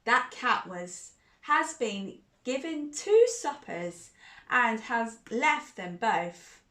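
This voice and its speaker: A woman's voice: on the high side, sing-song, extremely slow, bright, forceful tone, reverberant, heavily acted. A woman voicing a cross, grumbling father in a storybook, stern and scolding. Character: cross, grumbling father of a family, Mister Thomas.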